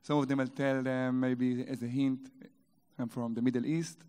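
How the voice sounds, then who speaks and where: A man's voice: medium pitch, flat delivery, normal speed, warm tone, clean tone. A man with an Israeli accent, a company chief executive speaking on a conference panel in a chatty, anecdotal way with frequent hesitations. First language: Hebrew. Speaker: real estate company CEO, panelist. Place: large conference hall. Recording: reverberant conference hall.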